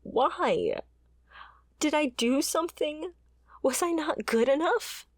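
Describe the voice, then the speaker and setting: Woman acting a slow-talking, bitter female mercenary. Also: sits high, lively intonation, no room sound, studio quality, full character voice. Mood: hurt, furious. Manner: confrontational, sardonic, swearing. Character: fiery, bitter female mercenary, wounded former bodyguard. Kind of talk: dramatic ASMR roleplay acting.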